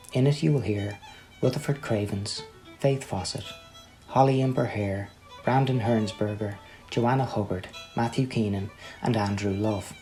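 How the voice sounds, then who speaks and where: A man's voice: lowish voice, darkish tone, poor audio. Male radio play narrator over fiddle music. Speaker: announcer and narrator. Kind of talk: scripted radio play introduction and stage directions.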